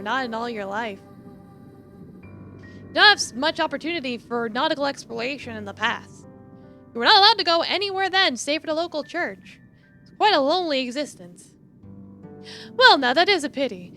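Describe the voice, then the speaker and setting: Female game streamer, expressive narrator with chatty asides, highish and very bright tone. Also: brisk, soft background music. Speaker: live streamer. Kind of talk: dramatic read-aloud of visual novel with casual asides.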